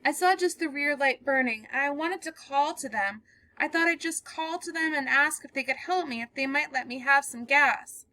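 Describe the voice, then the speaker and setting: A woman's voice: high-pitched, normal speed, sharp tone, no echo, voice pushed up. A woman voicing a terrified young woman who has fled a killer, breathless and pleading as she reads a play aloud. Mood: frightened.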